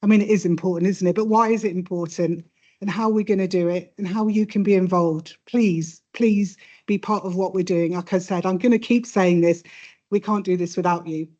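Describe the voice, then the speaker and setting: A woman's voice: on the low side, steady tone, brisk, mellow, lo-fi sound. A woman addressing an audience in an event room without a microphone, earnest and emphatic. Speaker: project lead speaker.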